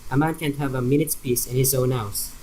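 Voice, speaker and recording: A man's voice: mid-range voice, steady tone, fairly quick, a little mellow, close to an everyday voice, poor audio. A man playing a grumpy, impatient middle-aged father with a stern, curt manner in a radio play. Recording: faint background noise.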